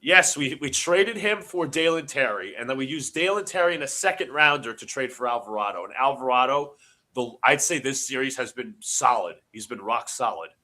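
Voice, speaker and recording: A man calls a basketball game play by play for his live stream audience, his voice a little stuffy from allergies. The tone is bright and sharp, and he keeps up a quick, excitable running commentary that jumps to shouting when his team scores. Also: medium pitch. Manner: excitable, shouts on big plays. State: congested from allergies. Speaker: livestream host doing play-by-play.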